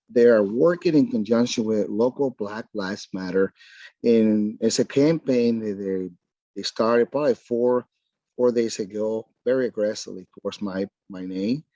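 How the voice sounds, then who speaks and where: A man from Venezuela with a Venezuelan Spanish accent, a Spanish native speaker, answering as a council candidate on a radio interview, earnest and defensive with halting phrasing. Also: fairly low pitch, warm tone, no room sound, highs cut off.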